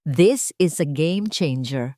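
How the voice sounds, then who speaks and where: A woman's voice: low-pitched, expressive, takes it slowly, warm tone. A confident, scheming young businessman, read out by a woman narrator. He speaks as a character in a dramatic storybook. The recording is dry and free of echo. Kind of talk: dramatic audio storybook reading.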